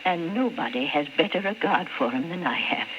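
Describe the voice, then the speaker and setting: A woman's voice: low voice, animated, fairly quick. An actress playing a kindly, fretful landlady in old-time radio drama style, hesitant and deferential as she speaks. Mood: worried, fond. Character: kindly, fretful female rooming-house landlady. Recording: muffled, noisy vintage radio recording.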